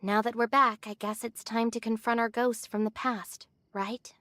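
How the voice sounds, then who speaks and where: A woman voicing a gentle, reassuring young woman with a polite, earnest manner in an English anime game. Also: fairly high pitch, fairly even intonation, normal speed, dry recording. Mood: encouraging. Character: gentle, reassuring young woman returned home after suffering.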